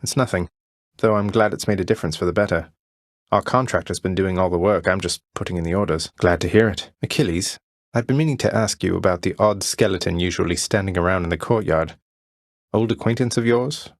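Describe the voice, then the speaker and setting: A man playing a young underworld prince in a video game, friendly, courteous and gently wry. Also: deep voice, brisk, dry recording, clean studio sound. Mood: curious, wry.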